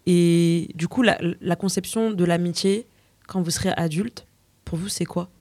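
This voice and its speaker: Soft-toned woman host, low mellow voice. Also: normal speed. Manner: hesitant, reflective, reformulating. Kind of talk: casual discussion.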